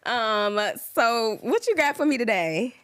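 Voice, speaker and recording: A woman's voice: a little high, expressive, measured pace, fairly bright tone, clean tone. Young woman, podcast host, upbeat and chatty, lots of ums. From Georgia, US. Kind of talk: casual chat.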